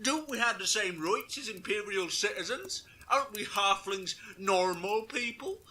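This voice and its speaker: A man's voice: high-pitched, big pitch swings, a little slow, pushed and bright, full character voice. A man voicing an indignant little halfling, plaintive and protesting, in a fantasy character demo. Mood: indignant, pleading. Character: indignant little halfling pleading for equal rights.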